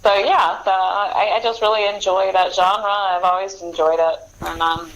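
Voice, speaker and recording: A woman's voice: medium pitch, fairly even intonation, moderate pace, highs cut off. An American woman talking casually as an author in an interview, in a chatty, rambling way.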